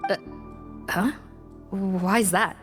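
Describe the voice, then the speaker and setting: A woman's voice: medium pitch, steady tone, drawn-out words, soft background music. An actress playing a cautious, dutiful female law officer in a video game, formal and firm. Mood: reluctant. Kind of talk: video game character acting.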